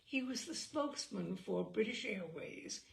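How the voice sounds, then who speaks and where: A woman host reading a humorous literary essay aloud with theatrical, deliberate, carefully phrased delivery. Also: mid-range voice, animated, gravelly, slight background noise. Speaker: host and reader. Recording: roomy echo, distant microphone.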